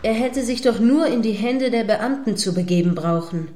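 A woman's voice: fairly high pitch, normal speed, dark tone, a little hiss or hum, natural voice. A woman playing an indignant, moralizing radio reporter giving live commentary on a standoff in a radio play. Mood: shocked, moralizing.

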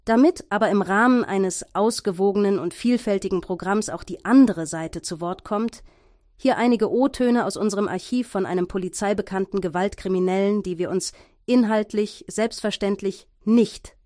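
A woman's voice: medium pitch, normal speed, darkish tone, no room sound, natural voice. Woman acting a composed news presenter, dry studio. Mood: formal, earnest. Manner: formal, composed. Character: polished, earnest female radio news presenter. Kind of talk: scripted news presenting in satirical radio play.

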